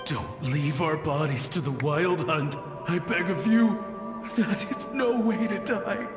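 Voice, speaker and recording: Slow, echoing, despairing ghost. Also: male voice, a little high. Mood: pleading. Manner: slow, mournful, breathy pleading. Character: despairing ghost of a doomed soldier, pleading. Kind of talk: video game voice acting. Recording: long ghostly reverb, music behind.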